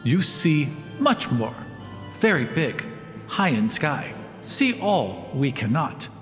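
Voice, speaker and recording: Man as cautious female druid, slow simple phrases, placating, tabletop game, music underneath. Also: a little high, big pitch swings, in a large hall. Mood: coaxing. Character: cautious, diplomatic female druid speaking simple broken language.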